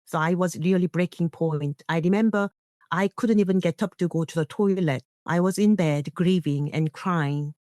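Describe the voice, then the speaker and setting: Low-pitched woman, closely recorded. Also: normal speed, darkish tone, no echo, clean studio sound. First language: not English. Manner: earnest, non-native phrasing. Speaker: guest, internationally trained nurse and leader. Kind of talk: personal reflective account in a podcast interview.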